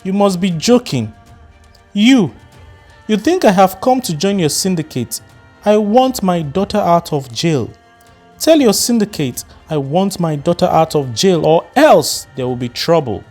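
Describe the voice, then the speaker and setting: A man voicing an indignant middle-aged mother who confronts a stranger with sharp demands. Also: high voice, lively intonation, measured pace, soft background music, voice pushed up. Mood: angry. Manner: confrontational, firing questions.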